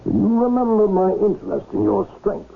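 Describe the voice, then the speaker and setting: An actor playing a nervous, secretive gentleman with formal, halting, emphatic delivery in an old-time radio drama. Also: sits high, fairly even intonation, vocal fry. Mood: secretive, commanding. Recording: lo-fi radio broadcast, faint noise.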